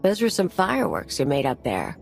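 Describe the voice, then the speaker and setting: A woman's voice: lowish voice, wildly swooping pitch, brisk, gravelly, faint music behind, heavily acted. A woman playing a wry, playful ally in a video game cutscene. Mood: teasing.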